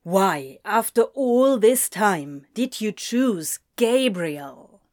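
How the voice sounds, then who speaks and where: A woman's voice: mid-range voice, animated, slow, no echo, studio quality. A woman voicing a tense, hot-tempered young male student with a blunt, clipped manner in an audiobook story. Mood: angry, accusing.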